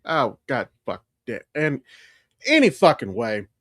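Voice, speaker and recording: A man's voice: medium pitch, measured pace, no echo, clean studio sound. A man co-hosting a podcast, chatting casually and emphatically with opinions and plenty of swearing. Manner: animated, emphatic, freely profane. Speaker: podcast co-host. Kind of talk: casual opinionated pop-culture chat.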